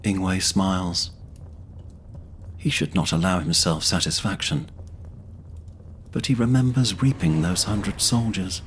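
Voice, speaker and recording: A man's voice: deep voice, slow, long pauses, slight background noise. A man narrating a scripted fantasy audio drama in a solemn storytelling manner.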